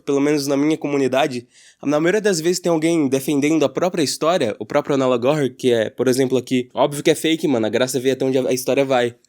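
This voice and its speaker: A man's voice: fairly high pitch, darkish tone. A Brazilian man giving casual opinion commentary as a YouTuber, in an informal, slangy way.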